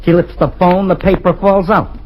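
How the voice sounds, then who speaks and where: A man's voice: high voice, flat delivery, moderate pace, highs cut off. An actor playing a cold, threatening gangster who takes a man hostage, in old-time radio acting. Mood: menacing. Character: menacing male gangster holding a hostage.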